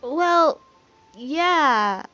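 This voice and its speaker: A woman with a fairly high voice comments casually on a video game. She talks slowly and reacts sentimentally, and her microphone sounds a bit dull. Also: expressive, pushed and bright, soft background music. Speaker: game streamer.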